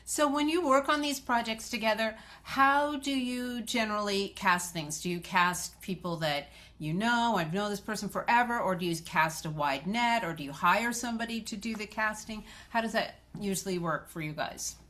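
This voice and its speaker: A woman's voice: medium pitch, moderate pace, few pauses, fairly bright tone. A woman moderating a film festival Q&A, interviewing casually and putting questions in a conversational way.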